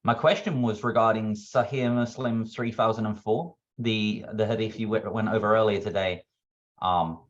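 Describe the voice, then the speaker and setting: A man with a fairly low voice poses a question to a presenter. He is from Texas and speaks in a measured, polite way, reading out quotations. The sound is slightly dull and lo-fi. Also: steady tone. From Texas, United States. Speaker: audience member asking a question. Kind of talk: polite question at an online discussion. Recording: dull, low-fidelity connection.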